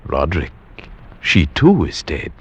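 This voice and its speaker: Deep-voiced, solemn gothic storyteller. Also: male voice, huge pitch swings, husky, full character voice. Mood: grave, pleading. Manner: solemn, portentous, measured. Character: grave, dread-filled male narrator, loyal friend of madman. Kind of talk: dramatic gothic narration, 1940s radio acting. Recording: dull lo-fi 1940s radio recording. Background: dramatic music score.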